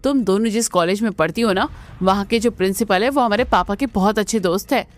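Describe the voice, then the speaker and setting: A woman's voice: medium pitch, fast talker, lightly acted. A woman voicing a protective, spirited young sister-in-law with bold, emphatic delivery in a cartoon family melodrama. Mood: threatening.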